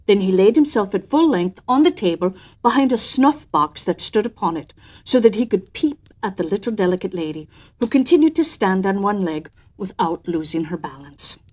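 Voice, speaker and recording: A woman storyteller with a mid-pitched voice narrates a children's tale. Her delivery is theatrical and expressive, swelling for emphasis and dropping softer at the ends of phrases. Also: moderate pace. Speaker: storyteller and host. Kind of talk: expressive storybook narration with an in-character personal anecdote.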